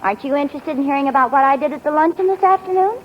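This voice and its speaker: A woman's voice: high-pitched, rapid-fire, a little hiss or hum. A woman acting an inquisitive, persistent wife in a 1940s radio sitcom. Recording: muffled old radio broadcast with audience.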